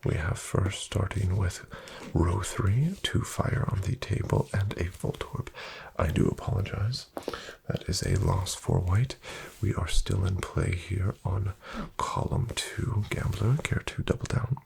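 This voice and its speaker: A man doing a soft-spoken ASMR roleplay as a courteous casino dealer, breathy and often near a whisper, in formal, measured patter. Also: low voice, fairly even intonation, a little hiss or hum. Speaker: ASMR performer playing a casino card dealer. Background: card shuffling and clicks.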